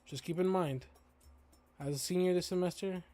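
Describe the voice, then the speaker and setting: Formal, authoritative dinosaur principal for a visual novel done by a streamer, stern but supportive, clear music behind. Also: medium pitch, moderate pace, dark tone, natural voice. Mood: businesslike, supportive. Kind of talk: visual novel character voice read aloud.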